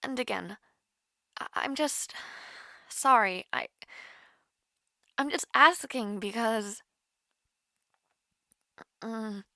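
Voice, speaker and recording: A woman playing a nervous, smitten young woman in an intimate romantic audio roleplay, halting and flustered. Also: a little high, bright, forceful tone, no echo, studio quality, exaggerated voice. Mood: flustered, hesitant. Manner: halting, flustered, breathy sighs and whispers.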